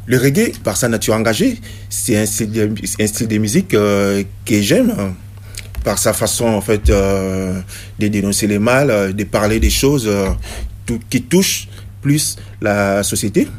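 A man from Congo, a reggae musician answering interview questions in a halting way, full of hesitations and restarts. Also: on the low side.

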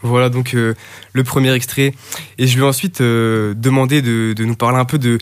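A man's voice: medium pitch, little pitch movement, normal speed, clear, resonant tone, no echo. A man hosting a live radio show, presenting casually, with frequent hesitations and fillers. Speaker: radio host.

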